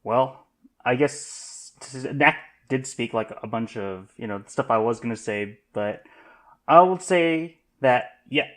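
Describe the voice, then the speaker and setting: A man in a casual podcast discussion who talks haltingly, rambling and circling back to rephrase himself. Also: medium pitch, a bit bright.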